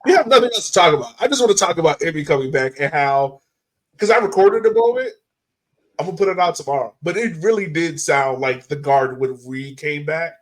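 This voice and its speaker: Guy hosting a sports podcast, higher voice, hyped and slangy, just chatting. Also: a little fast, rough recording. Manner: excitable, slangy. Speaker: podcast host. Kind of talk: casual sports podcast chat.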